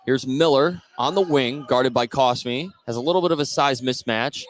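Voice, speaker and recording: A man's voice: medium pitch, normal speed. Man calling basketball over gym noise. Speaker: play-by-play announcer. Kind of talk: live basketball play-by-play commentary. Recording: live gym noise behind the voice. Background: gym ambience of a basketball game.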